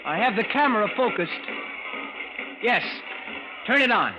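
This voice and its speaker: An actor playing a resolute, grave assassin from a future underground, speaking formally in clipped sentences in old radio drama style. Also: male voice, high-pitched, big pitch swings, measured pace, over loud music, low-quality recording. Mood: urgent, commanding. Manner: formal, clipped speech without contractions. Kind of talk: 1940s radio drama acting.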